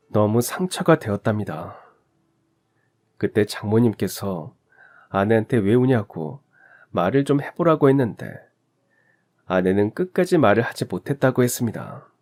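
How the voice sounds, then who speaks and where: A man calmly narrating a family story as the husband, in a scripted, sympathetic storytelling manner. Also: on the low side.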